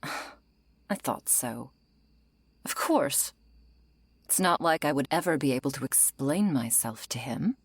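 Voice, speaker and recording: A woman acting a century-old female vampire in an ASMR roleplay, composed, politely menacing and wry, her soft breathy voice often falling into a whisper. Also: extremely low pitch, lively intonation, takes it slowly, pauses often, no room sound, exaggerated voice. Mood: cool, resentful. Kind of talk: ASMR roleplay, intimate scripted monologue.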